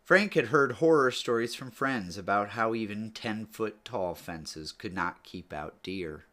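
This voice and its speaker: Male audiobook-style narrator, fairly low pitch, measured storytelling delivery with falling phrase endings. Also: sharp tone. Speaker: author or reader narrating a book read-aloud.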